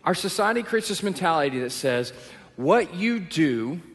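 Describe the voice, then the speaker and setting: A young Texan man preaching to a church crowd, his fairly high voice ringing out with a long hall reverb. He tells stories from his own life and pokes fun at himself along the way. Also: very animated, normal speed. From Texas. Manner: personal storytelling with self-deprecating humor. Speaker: pastor. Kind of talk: sermon. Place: church auditorium.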